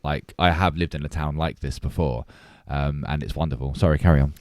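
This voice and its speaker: Deep-voiced man chatting on a podcast. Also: fairly even intonation, fairly quick, no echo, clean studio sound. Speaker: film podcast co-host. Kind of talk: casual chat.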